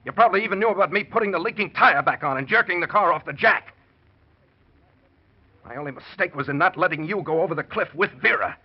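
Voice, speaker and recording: A man's voice: sits high, fast, slightly rough. A man with an American accent playing a bitter, desperate murder plotter in the style of 1940s radio drama. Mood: menacing, desperate. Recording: muffled vintage 1940s radio recording.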